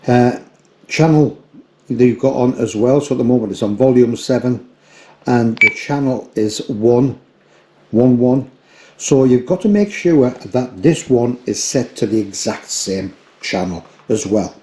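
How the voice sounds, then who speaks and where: A man's voice: mid-range voice, measured pace, a little mellow, breathy edge. A man in his fifties giving a casual, plain-spoken product review to the camera, explaining things step by step. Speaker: outdoor gear reviewer. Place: forest trail outdoors, and small room indoors.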